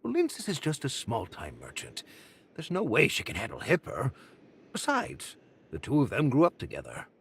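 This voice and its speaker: A man's voice: mid-range voice, huge pitch swings, normal speed, vocal fry, a little hiss or hum. An actor playing a cautious, nervous male pirate sidekick in an anime game, wary and hesitant. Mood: cautioning. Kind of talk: English anime game voice acting.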